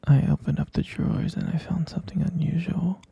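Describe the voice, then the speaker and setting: A man playing a hesitant, concerned middle-aged stepfather in a scripted ASMR roleplay, speaking in a breathy, gravelly, halting hush. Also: a little high, steady tone, dry recording, highs cut off, full character voice. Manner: halting, hushed, drawn-out delivery.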